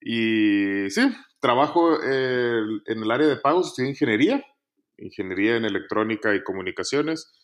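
A man, a podcast guest telling his life story in a relaxed, casual way. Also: medium pitch, normal speed. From Mexico.

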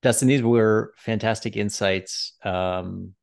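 Deep-voiced male podcast host. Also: steady tone, gravelly. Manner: measured, summarizing. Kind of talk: interview hosting.

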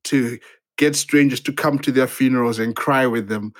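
Zimbabwean man, playwright guest, halting and reflective, mid pitch. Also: steady tone. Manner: hesitant, halting, many restarts and fillers. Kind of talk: reflective interview conversation.

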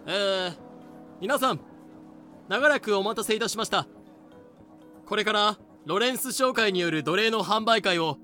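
Businesslike male trader for an audio drama, acted by a man: slightly high, bright voice, showman's polish, music underneath. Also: unhurried, pauses often. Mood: showy, selling. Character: slave trader hosting a sale, businesslike salesman. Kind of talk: fan-made Japanese audio drama acting.

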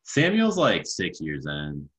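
Very deep-voiced laid-back man. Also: huge pitch swings, takes it slowly, fairly bright tone, husky, dry recording. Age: 20s. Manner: laid-back, laughing, swearing often. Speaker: guest. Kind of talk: casual chat.

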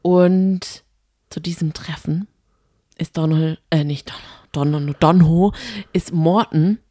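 Female podcast co-host, low voice, dry sound, chatty. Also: fairly even intonation, slow pace, dark tone, no room sound. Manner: chatty, responsive listener who laughs easily. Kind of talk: casual chat.

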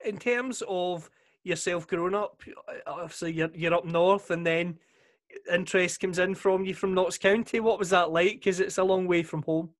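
A man interviewing a guest, asking measured, prepared questions. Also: high-pitched, fairly even intonation.

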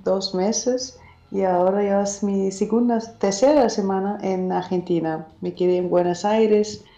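A German-accented woman speaks slowly and hesitantly, pausing to find her words. Her voice is mellow and low in brightness. The sound is muffled and echoes as if in a room. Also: steady tone. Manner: hesitant, searching for words, soft-spoken. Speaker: guest singer-songwriter. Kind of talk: casual interview.

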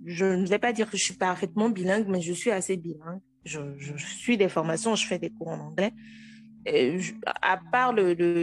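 A woman in her thirties with a Togolese French accent, a guest telling her own story frankly and with animation. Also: on the low side. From Togo. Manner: frank and animated, with frequent restarts. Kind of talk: casual interview.

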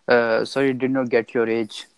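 Halting young man, flat and smooth. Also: mid-range voice, no echo, poor audio. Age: 20s. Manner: halting, hesitant, polite. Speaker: video call host. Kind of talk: casual conversation. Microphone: video call.

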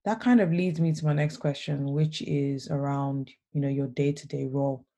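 A woman hosting a remotely recorded podcast interview, talking in a soft, relaxed way. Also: low-pitched, moderate pace, gravelly. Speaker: podcast host. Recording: not studio quality, remote.

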